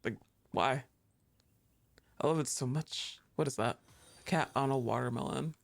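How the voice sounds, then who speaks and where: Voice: medium pitch, moderate pace, creaky. A streamer chatting casually and thinking aloud while playing a game, laughing often and talking back at the screen. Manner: thinks aloud with fillers and restarts.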